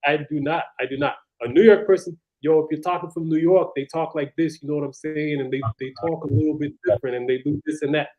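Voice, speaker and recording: A man's voice: a little high, steady tone, fast talker, hardly any pauses. An American man answering interview questions, explaining things step by step in a casual, thoughtful way. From United States. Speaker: guest. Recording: dull lo-fi sound.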